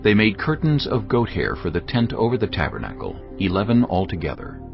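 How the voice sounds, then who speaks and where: A male narrator reads scripture in a measured, reverent way, with a very deep voice and a lively, varied pitch. Soft music plays beneath his dull, muffled studio sound. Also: gravelly. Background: soft background music.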